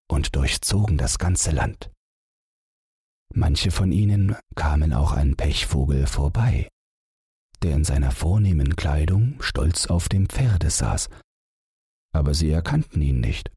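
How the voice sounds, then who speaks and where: Deep, very husky male storyteller. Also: darkish tone, no room sound, studio quality. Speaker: audiobook narrator. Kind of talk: scripted bedtime fairy tale narration.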